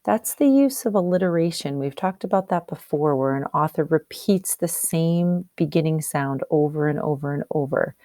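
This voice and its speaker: A woman's voice: deep voice, normal speed, hardly any pauses, mellow, no room sound, studio quality. A woman teacher doing a storybook read-aloud, explaining and posing questions as she goes. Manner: explanatory, asks and answers questions.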